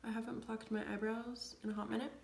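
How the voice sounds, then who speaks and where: A young woman coming down with a cold, chatting through a makeup tutorial in a rambling way, often dropping to soft near-whispers. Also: fairly high pitch, level tone, quick pace, gravelly, slight background noise. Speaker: beauty vlogger. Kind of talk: casual makeup tutorial chat. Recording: echoey room.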